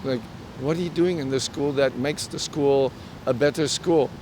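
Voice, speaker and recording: A middle-aged man from Germany, a native German speaker with a German accent, telling personal stories earnestly and haltingly in an interview. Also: a little mellow, poor audio. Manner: halting, earnest delivery with self-quoted dialogue. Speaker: interview guest. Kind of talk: personal storytelling in a conversational interview. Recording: outdoor street recording with traffic rumble.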